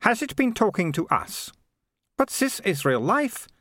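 Male narrator as young girl, shifted high, excitable, fretful, squeamish, storybook adventure. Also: a little slow, no echo, clean studio sound, full character voice. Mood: puzzled.

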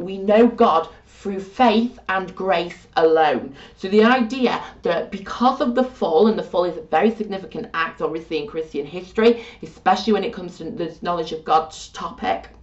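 A woman teaching a religious studies lesson in a chatty, animated way with self-deprecating asides, her voice getting croaky. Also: on the low side, far from the mic. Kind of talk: informal video lesson. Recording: muffled, roomy, from off-mic.

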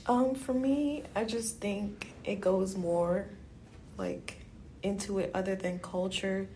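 A woman's voice: medium pitch, fairly even intonation, slow pace, warm tone, slight background noise. A woman from Guyana chatting casually as a podcast co-host, explaining things in an informal way. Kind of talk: casual chat.

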